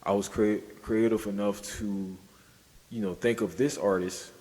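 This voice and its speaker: Low-voiced man in a reverberant space. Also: steady tone, measured pace, dark tone. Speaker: podcast co-host. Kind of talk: casual discussion.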